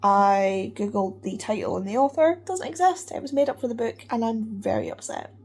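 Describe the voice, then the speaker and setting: A woman's voice: a little high, moderate pace, slightly rough. Gushing woman book vlogger. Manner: excited, gushing, trails off to a whisper. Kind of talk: casual vlog chat.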